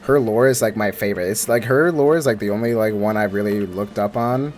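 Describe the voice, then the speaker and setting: A man's voice: lowish voice, brisk, darkish tone, faint music behind. A man giving casual let's play commentary as a gaming streamer, informal, slangy and enthusiastic.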